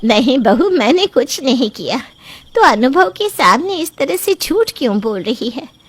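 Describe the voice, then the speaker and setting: A woman voicing a sharp-tongued, scolding middle-aged mother-in-law in an animated story, with a sarcastic manner. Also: sits high, unhurried, slight background noise. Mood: defensive. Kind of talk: cartoon storybook voice acting.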